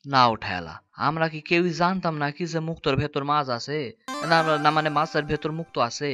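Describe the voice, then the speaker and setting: A man playing a simple, cheerful village boatman in an animated folk tale, with a habit of muddling his words and correcting himself. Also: fairly high pitch, fairly quick, no room sound. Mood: dismissive. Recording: music bed under dialogue.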